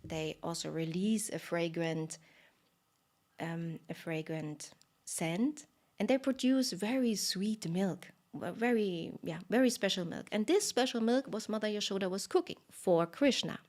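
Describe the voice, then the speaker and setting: A woman telling a story live in an expressive way, with fillers and dramatic pauses. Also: medium pitch.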